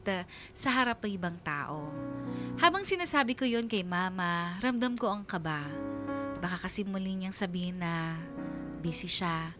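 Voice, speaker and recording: Woman doing sad lonely schoolgirl narrating a radio drama, midrange voice, confiding, music clearly behind. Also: mid-range voice, lightly acted. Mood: wistful. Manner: sorrowful, confiding, measured. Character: lonely, anxious schoolgirl narrating her own heartache.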